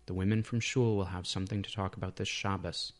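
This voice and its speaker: Guy reading a short story out loud, voice on the low side, literary narration. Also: steady tone, quick pace.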